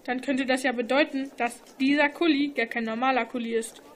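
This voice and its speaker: A woman's voice: high-pitched, fairly even intonation, a little fast, slight background noise, natural voice. A teenage girl acting an inquisitive, slightly bossy schoolgirl in an amateur radio play. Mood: puzzled, excited. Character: schoolgirl, inquisitive puzzle-solver, a bit bossy.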